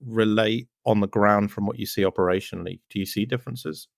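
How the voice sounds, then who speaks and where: A man's voice: fairly low pitch, steady tone, brisk, vocal fry, no echo, studio quality. A man from North Wales with a Welsh accent hosting a podcast, asking questions in a chatty way and adding loose asides. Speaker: podcast host. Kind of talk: conversational podcast interview.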